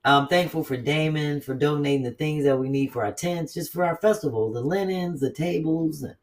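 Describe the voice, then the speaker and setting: A woman hosting a casual chat, talking in an animated, blunt, profane way with quick asides. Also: sits low, distant mic. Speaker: host.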